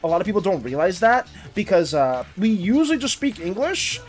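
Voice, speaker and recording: A streamer chatting casually with viewers in an animated, rambling way and reacting to chat as it comes in. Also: medium pitch, fairly quick. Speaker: art and game streamer.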